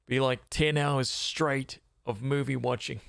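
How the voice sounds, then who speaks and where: A man's voice: medium pitch, fairly even intonation, a little slow, gravelly, a bit bright, no room sound. A man streaming games, chatting casually in a laid-back, informal way into a close microphone. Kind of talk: casual livestream chat.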